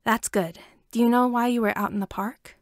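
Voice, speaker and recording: A kindly young boy's father in a story , read by a woman. The voice is gentle and reassuring, softly spoken. The sound is dry and close. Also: fairly high pitch, steady tone, moderate pace, dry recording, close to an everyday voice. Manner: soft, reassuring.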